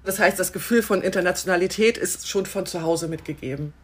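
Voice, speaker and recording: A woman hosting a podcast interview, putting brisk questions to her guest. Her voice is a little husky and sits on the low side.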